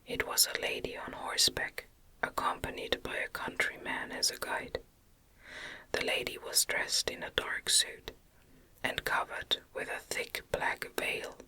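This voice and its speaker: Female ASMR audiobook reader: unhurried, soothing whisper throughout, breathy and unvoiced. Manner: gentle, soothing, deliberate. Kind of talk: ASMR whispered novel reading.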